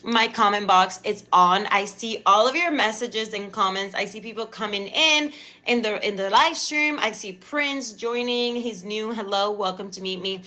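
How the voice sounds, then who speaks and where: Bright-voiced Cuban-accented woman host. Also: mid-range voice, moderate pace. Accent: Cuban Spanish. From Cuba. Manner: effusive and chatty, repeats thanks. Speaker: live stream show host. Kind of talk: live stream talk show intro.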